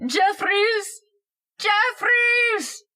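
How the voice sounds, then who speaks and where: A woman's voice: very high-pitched, extremely slow, pushed and bright, full character voice. A woman playing a snobbish, scolding wife and party hostess in old-time radio horror style. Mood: agitated. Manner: haughty, scolding, theatrical declaiming.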